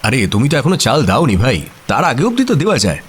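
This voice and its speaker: An actor playing a detective's good-humoured friend, narrating a radio drama in an expressive, wry, conversational way. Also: male voice, mid-range voice, fast, breathy edge. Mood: good-humoured, animated.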